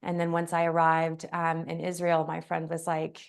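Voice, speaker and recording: A woman hosting an interview, sharing a personal story in a gentle, halting way with frequent pauses. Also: low voice, monotone, creaky, smooth. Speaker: podcast host.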